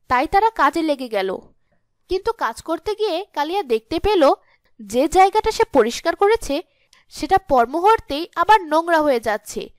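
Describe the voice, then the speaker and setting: A woman's voice: high-pitched, no room sound. A woman narrating a scripted cartoon fairy tale as a storyteller.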